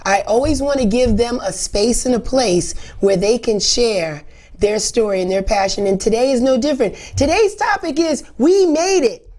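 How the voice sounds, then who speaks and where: Hoarse, upbeat woman hosting an interview. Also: on the high side, moderate pace, darkish tone. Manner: effusive, lots of encouraging affirmations. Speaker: host. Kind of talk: casual interview.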